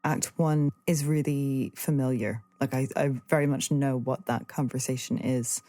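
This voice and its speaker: Very mellow, searching woman interviewer. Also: a bass voice, fairly even intonation, normal speed, no echo. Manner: hesitant, searching, reworks phrases aloud. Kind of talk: reflective arts conversation.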